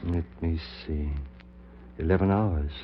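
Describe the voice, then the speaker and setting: An actor playing an obsessed, confident scientist in a 1940s radio horror drama, speaking in a clipped, intense way. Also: male voice, low-pitched, fairly even intonation, slow pace. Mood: confident, insistent. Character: obsessed scientist reviving his dead wife, confident, insistent. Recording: muffled, noisy 1940s radio recording with hum.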